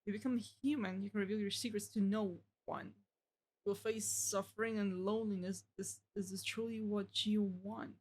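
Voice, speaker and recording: A woman's voice: mid-range voice, steady tone, normal speed, darkish tone, slightly rough. A woman streaming a game, reading its text aloud and reacting casually.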